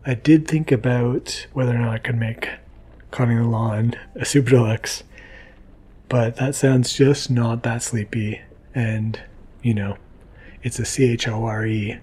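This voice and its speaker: A man hosting a sleep podcast, speaking in a soothing, gentle, unhurried way. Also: mid-range voice, fairly even intonation, breathy edge. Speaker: podcast host.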